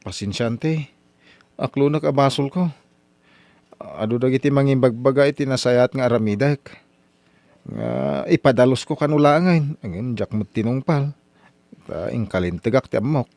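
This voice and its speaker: A man's voice: medium pitch, normal speed, creaky, long pauses, darkish tone, no room sound, close to an everyday voice. A man playing a pleading young farmer. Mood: apologetic. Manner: pleading, apologetic. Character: stubborn young farmer Pidong, later crippled. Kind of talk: radio drama acting.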